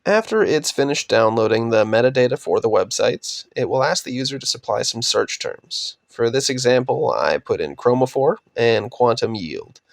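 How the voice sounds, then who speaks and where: A young man with a voice raspy from a cold, steadily narrating a slide presentation on his undergraduate research project. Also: medium pitch, no echo, clean studio sound. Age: young adult. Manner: steady, explanatory, trails off at sentence ends.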